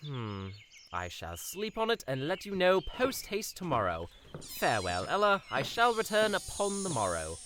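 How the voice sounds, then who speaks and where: A man acts out a self-important young storybook prince, oblivious and grand. His bright voice swings widely in pitch as he delivers ornate, old-fashioned phrases. It is an audio drama with faint noise behind him. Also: huge pitch swings, normal speed. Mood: thoughtful. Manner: pompous, flowery old-fashioned formal speech.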